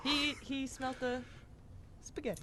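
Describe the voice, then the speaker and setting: A woman in casual improvised comedy banter, speaking in an offhand, deadpan way. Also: high-pitched, normal speed. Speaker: comedy show performer.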